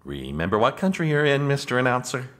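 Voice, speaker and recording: An actor playing an officious, exasperated American presidential aide in a comedic audio drama, speaking formally and managing others with strained patience. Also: male voice, mid-range voice, sing-song, fairly quick, a bit bright. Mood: stern.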